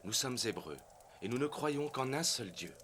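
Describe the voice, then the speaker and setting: A man's voice: mid-range voice, animated, moderate pace, a bit bright, slight background noise, lightly acted. A man voicing a devout, guarded husband in a French cartoon dub. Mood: firm. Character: devout, guarded Hebrew husband, plain-living.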